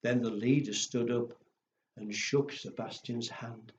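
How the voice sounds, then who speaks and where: A man's voice: mid-range voice, steady tone, unhurried. A man telling stories and rhymes to young children in a playful, simply phrased way. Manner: playful, simple phrasing with acted woofs and exclamations. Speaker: children's storyteller. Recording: echoey room, dull sound, faint noise.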